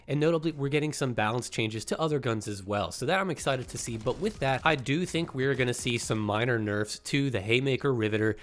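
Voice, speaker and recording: Congested, slightly nasal male gaming YouTuber. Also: medium pitch. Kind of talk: casual gaming commentary.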